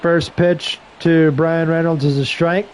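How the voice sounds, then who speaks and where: A slightly hoarse man gives casual play-by-play into a headset mic, breaking off into relaxed, rambling asides between calls. His voice sits fairly high. A faint hiss runs under the recording. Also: measured pace, rough recording. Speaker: streamer doing play-by-play.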